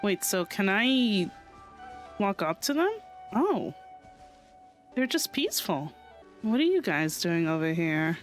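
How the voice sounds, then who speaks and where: A woman game streamer giving casual, expressive reaction commentary and thinking aloud as she plays. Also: medium pitch, slow pace, pauses often. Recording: game music behind.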